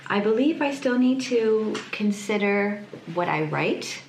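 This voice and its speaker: A woman illustrator chatting casually on her vlog, hesitant, often pausing and repeating words as she thinks. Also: mid-range voice, lively intonation. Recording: echoey room, clear background noise.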